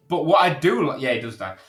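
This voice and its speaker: A man's voice: fairly high pitch, big pitch swings, moderate pace. A man bantering casually as a podcast co-host, with a sweary, jokey way of talking. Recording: roomy, echoey sound.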